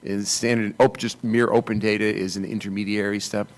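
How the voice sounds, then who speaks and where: A man's voice: lowish voice, flat delivery, fairly quick. A man speaking up from the audience at a panel, making a fluent, argumentative case into a handheld mic. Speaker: conference audience member. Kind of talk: question from the floor at a panel.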